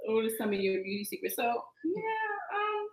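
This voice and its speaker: A woman co-hosting a podcast live stream, talking with lively enthusiasm and lots of hesitant fillers. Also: a little high, moderate pace, roomy sound. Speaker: podcast co-host. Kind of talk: casual live-stream chat.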